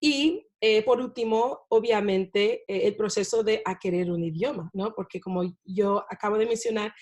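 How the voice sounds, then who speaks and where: A woman, a non-native Spanish speaker, talking as a guest about teaching in a relaxed interview, with many fillers and tag questions. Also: medium pitch, moderate pace. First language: not Spanish. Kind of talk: casual interview talk.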